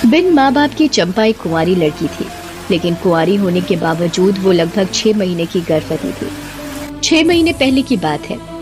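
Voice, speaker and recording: A woman narrating a scripted Hindi story in a dramatic storytelling style. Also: lowish voice.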